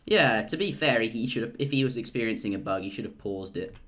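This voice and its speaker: A young man casting a live strategy game, rambling and jokey, and getting excited at big plays. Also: medium pitch, fairly quick. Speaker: esports caster.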